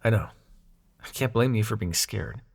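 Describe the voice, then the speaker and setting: A man playing a brash, protective mythic ally in an intimate audio roleplay, talking casually and with gruff affection. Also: lowish voice, moderate pace. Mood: gentle, encouraging. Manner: casual, sweary, gruffly tender.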